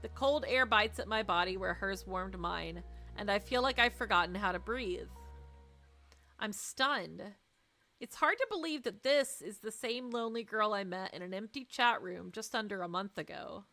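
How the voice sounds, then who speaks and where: A woman's voice: mid-range voice, moderate pace, bright, forceful tone. A woman streamer reading a visual novel aloud expressively, with playful, teasing asides. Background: music.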